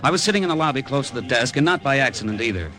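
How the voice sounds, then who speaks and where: An actor playing a wry, easygoing middle-aged American gambler, speaking in a laconic 1950s radio drama style. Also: male voice, medium pitch, animated, racing, sharp tone, soft background music. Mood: wry narration. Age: 40s. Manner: laconic, breezy, conversational. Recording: vintage radio drama recording.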